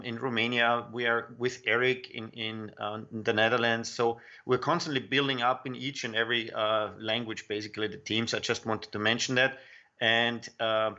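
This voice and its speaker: A man's voice: on the low side, bright, forceful tone. A man from Austria whose native language is German, speaking earnestly on a livestream with slight stumbles. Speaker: team member on a livestream. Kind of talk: casual livestream talk. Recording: dull lo-fi audio.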